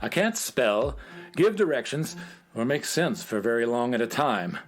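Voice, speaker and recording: A man's voice: medium pitch, sing-song, a little slow. An actor playing a cheerfully dim male alien guide in a children's radio drama, chatting like a chipper salesman. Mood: matter-of-fact. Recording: faint music behind.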